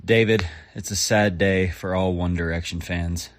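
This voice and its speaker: Deep voice guy calling in with puns on a voicemail. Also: fairly even intonation, moderate pace. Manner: jokey, pun-telling delivery. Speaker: caller.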